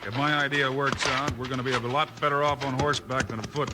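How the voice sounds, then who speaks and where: Man playing a steady mid-pitched western lawman. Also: natural voice. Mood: firm, commanding. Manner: firm, measured, unflappable. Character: calm, steady, confident western lawman hero. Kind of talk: 1950s radio western acting. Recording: noisy vintage radio recording.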